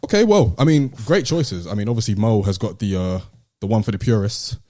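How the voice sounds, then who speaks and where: A man's voice: on the low side, lively intonation, fairly quick, mellow, no echo, clean studio sound. A British man hosting a podcast in casual, slangy, laid-back chat. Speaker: podcast host.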